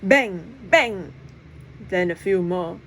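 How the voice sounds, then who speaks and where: A woman reading a children's novel aloud in expressive narration. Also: lowish voice, slow pace. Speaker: audiobook reader. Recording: clear background noise.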